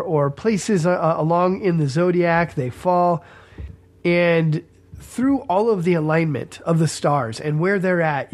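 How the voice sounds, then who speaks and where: Stuffed-up man hosting a podcast. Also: on the high side. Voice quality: congested, scratchy throat. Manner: casual and chatty, coughs and pauses. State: stuffy with a head cold. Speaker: podcast host. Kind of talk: teaching podcast monologue.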